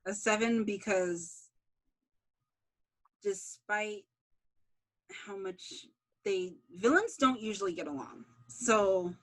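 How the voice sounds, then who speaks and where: A woman speaks at a very unhurried pace in a casual interview. She explains her creative work informally, as the creator answering questions, with a mid-range voice. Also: mid-range voice, a bit bright, rough recording. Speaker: series creator being interviewed.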